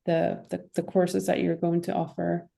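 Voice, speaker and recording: A woman's voice: lowish voice, completely flat delivery, moderate pace, creaky, warm tone. A woman introducing herself on a video call as a tech consultant starting a coaching business, rambling with fillers.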